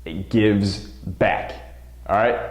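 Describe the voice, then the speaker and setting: A man's voice: lowish voice, extremely slow. A man speaking as a basketball coach and show host, giving an emphatic motivational talk to viewers and stressing key words by repeating them. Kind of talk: motivational vlog talk to camera. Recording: roomy, echoing sound.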